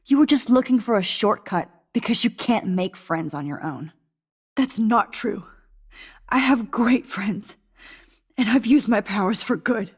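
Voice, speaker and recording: A woman playing an intense, argumentative teenage girl in a video game, with a slightly husky voice. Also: fairly high pitch. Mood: distressed, defensive. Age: young adult. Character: teenage girl confronting her cruel, mocking double.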